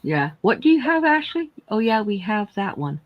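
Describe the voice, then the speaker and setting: A talkative woman in her sixties on a casual livestream, telling long family stories and calling out to viewers. Her audio is dry and a little muffled, as if it came through lo-fi gear. Her pitch is middling. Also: sing-song, normal speed, no room sound. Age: 60s. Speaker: livestream co-host. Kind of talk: casual chat.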